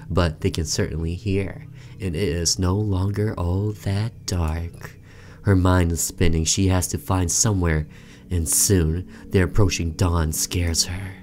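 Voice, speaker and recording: A man's voice: low voice, darkish tone, a little hiss or hum. A man hosting a gaming video, reading story text aloud like a storyteller and adding casual reactions.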